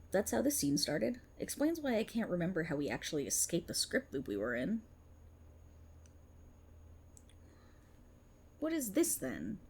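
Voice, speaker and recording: Woman acting a smug, theatrical policewoman, swooping pitch. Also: creaky, darkish tone, slightly rough, heavily acted. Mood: suspicious, sardonic. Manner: theatrical, sarcastic, mocking. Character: smug, vindictive female multiverse police officer interrogating a writer. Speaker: ASMR roleplay performer. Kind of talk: ASMR roleplay acting.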